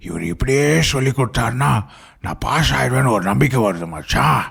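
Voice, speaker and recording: A man voicing a chubby, easygoing but sceptical schoolboy in a cartoon, with a raspy voice. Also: mid-range voice, animated, fairly quick, fairly bright tone, full character voice. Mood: admiring. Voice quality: gravelly, raspy. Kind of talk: cartoon dialogue.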